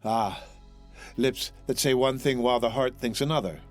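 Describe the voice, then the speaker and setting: A man's voice: mid-range voice, moderate pace, faint music behind, lightly acted. A man voicing an earnest, devoted young sailor in a storybook, speaking with ardent courtesy. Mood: courteous, guarded.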